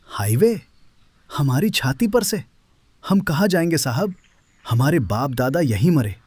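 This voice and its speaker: Man playing an anguished, higher-voiced village farmer. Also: expressive, unhurried, a little mellow, soft background music. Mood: indignant, anguished. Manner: protesting, emotional. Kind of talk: animated moral story dialogue.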